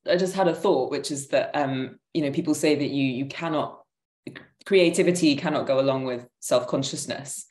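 A woman's voice: rumbling low, darkish tone. A woman in her thirties talking thoughtfully and tentatively in an echoey room on a video call. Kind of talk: thoughtful conversational discussion. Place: indoor room at home.

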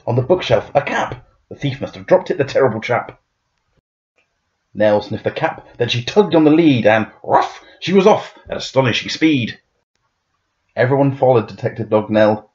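A man reading a children's rhyming picture book aloud in a dramatic, playful, expressive way. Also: mid-range voice, muffled recording. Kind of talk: expressive rhyming storybook narration.

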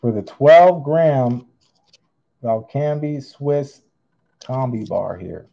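A man hosting a live online auction, calling bids with theatrical, drawn-out singsong phrases. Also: medium pitch, expressive, gravelly, mellow, dull audio. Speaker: online auctioneer, channel host. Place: indoors at a tabletop display.

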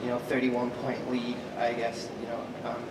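A male reporter asks his questions haltingly, stumbling over words in a flat, even tone. He sounds far off in a large echoing room. Steady room noise sits behind him. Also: medium pitch. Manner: halting, with many stumbles and "you know". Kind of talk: press conference questions. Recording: echoey hall, distant mic, room noise.

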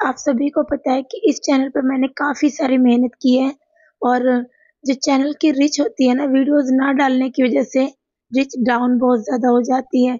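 A hoarse woman with a sore throat narrates a home remedy demo in a chatty voice-over, talking to viewers as friends. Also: high voice, dark tone, dry recording, muffled recording. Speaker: cooking and home remedy channel host. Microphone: voice-over recorded apart from the video.

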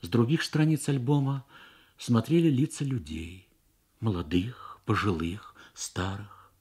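A man narrating a literary story for radio in a measured, expressive manner with deliberate pauses. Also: lowish voice, breathy edge.

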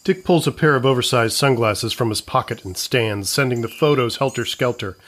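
A man serves as narrator of an audio comedy. He reads scripted scene descriptions in a medium-pitched voice, between the characters' dialogue. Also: normal speed, a bit bright.

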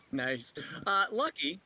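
A man runs a tabletop game in a fairly high, very animated voice. He describes scenes in a halting, conversational way. The sound is slightly dull, band-limited video call audio. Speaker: game master. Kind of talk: improvised narration of an online tabletop game.

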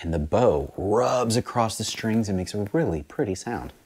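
Man, 30s, low voice, bouncy pitch, kids' music teacher, lapel mic, small room. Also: normal speed, slightly rough. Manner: animated, sing-song imitations of instrument sounds. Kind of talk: children's read-aloud and music lesson. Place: small studio room with bookshelves.

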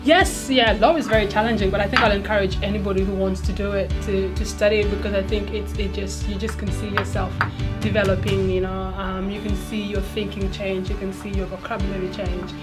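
Even-toned young woman, music behind. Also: mid-range voice, a little fast. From East Africa. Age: young adult. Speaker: interview guest, law student. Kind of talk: conversational interview answers. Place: small studio, seated at a desk.

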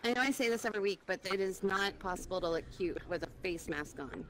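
A woman's voice: mid-range voice, lively intonation, quick pace, slight background noise, poor audio. A woman hosting a game stream on a VR headset, upbeat and welcoming. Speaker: host.

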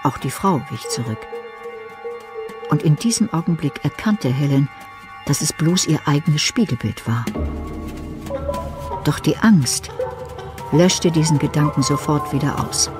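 A woman's voice: low voice, animated, a little slow, pauses often, over music. A woman narrating a suspenseful thriller radio play as a third-person storyteller.

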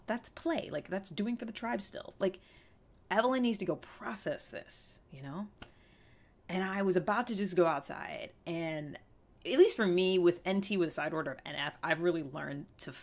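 Low-pitched American woman, emphatic and candid. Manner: emphatic, animated delivery with rhetorical questions and repetition. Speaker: vlog host giving self-growth advice. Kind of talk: casual, candid personal talk to camera. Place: small indoor room with fabric backdrop.

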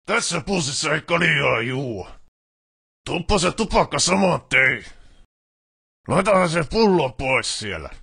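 A man playing a grumpy, bossy, foul-mouthed bus driver in a video game, with a Finnish accent and a gruff, curt, scolding way of talking. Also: a little high, sharp tone, full character voice. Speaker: game voice actor. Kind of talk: video game character voice lines.

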